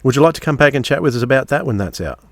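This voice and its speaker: A man hosting a podcast in a casual, measured way, pausing mid-phrase. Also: mid-range voice, expressive, dry recording. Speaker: podcast host.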